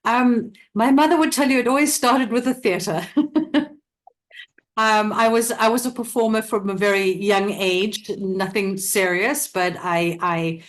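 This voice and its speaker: A middle-aged woman from South Africa chats as a guest in an interview. She laughs easily and restarts her sentences often, keeping an ordinary mid-range pitch throughout. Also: a little slow. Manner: chatty, laughs often, many restarts and fillers. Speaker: interview guest, theatre company director. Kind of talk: casual interview talk.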